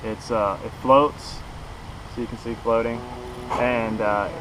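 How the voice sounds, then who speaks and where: A man's voice: mid-range voice, steady tone, slow, noisy background. A man in his thirties giving a casual, unscripted gear review to camera outdoors, in an informal, folksy way, a little way from the camera. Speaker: kayak fishing vlogger, product reviewer. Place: outdoors in a grassy backyard beside a shed. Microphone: camera a couple of metres away.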